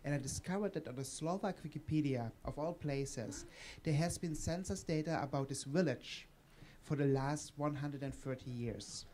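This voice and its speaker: A man's voice: fairly high pitch, moderate pace, hardly any pauses, darkish tone, a little hiss or hum. A man in his thirties from Croatia, telling a personal example on a conference panel through a table microphone. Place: conference room with audience.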